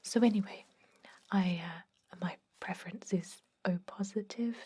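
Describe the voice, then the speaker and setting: A woman playing a chatty but gently stern vampire dentist in a whispered ASMR roleplay, rambling in a confiding way with a breathy voice. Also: mid-range voice, unhurried. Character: chatty long-lived female vampire dentist, garlic-obsessed, gently stern. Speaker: ASMR performer.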